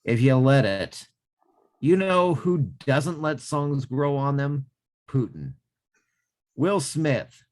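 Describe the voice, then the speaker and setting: A man's voice: medium pitch, very animated, takes it slowly, pauses often. A man co-hosting a casual music podcast, reading a listener's comment with playful comic asides. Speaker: podcast co-host.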